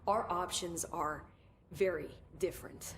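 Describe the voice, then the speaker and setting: A fairly low female voice plays an eager government researcher in a game cutscene. She explains things informally and with open curiosity. Faint ambient noise sits behind her. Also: a little slow, gravelly, a bit bright. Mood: earnest. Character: eager, candid government researcher, a woman briefing her new boss. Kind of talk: video game cutscene dialogue.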